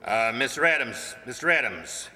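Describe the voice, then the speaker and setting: An actor playing a pompous, commanding Pentagon chief who speaks formally in a radio play. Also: male voice, mid-range voice, expressive, moderate pace, crowd noise, lightly acted. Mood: bemused, formal. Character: pompous, official male Pentagon chief chairing a meeting. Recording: echoey hall with long reverb.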